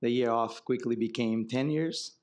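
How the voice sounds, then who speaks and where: Brazilian-accented man, relaxed and wry. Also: medium pitch, normal speed. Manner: relaxed, wry, explains with examples. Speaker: marketing executive guest. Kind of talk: onstage fireside chat. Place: conference stage before an audience.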